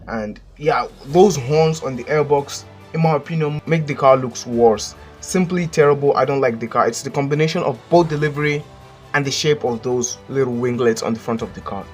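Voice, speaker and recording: A man with a Nigerian accent, hosting a casual countdown and giving blunt, joking opinions as a voice-over. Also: medium pitch. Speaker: channel host. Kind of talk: casual list commentary.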